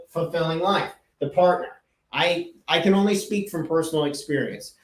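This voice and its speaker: A man's voice: high voice, darkish tone. Earnest man in an echoey room. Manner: earnest, emphatic, repeats key words. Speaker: podcast host and life coach. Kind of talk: casual motivational monologue.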